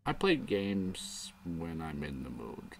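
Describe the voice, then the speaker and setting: Slow, low-voiced man rambling. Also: big pitch swings, creaky, slight background noise. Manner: rambling, thinking aloud, occasional swearing. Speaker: show host. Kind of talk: casual live-stream debate chat.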